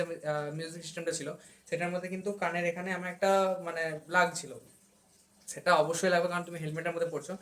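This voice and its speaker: A man's voice: on the high side, a bit bright. A man giving a casual vlog review, hesitating now and then and mixing in English words. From India. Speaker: motorcycle vlogger.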